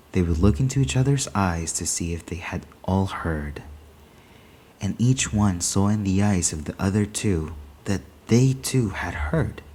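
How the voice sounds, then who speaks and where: A man reading a short story aloud in a deliberate, dramatic storytelling manner. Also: deep voice, mellow. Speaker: story reader.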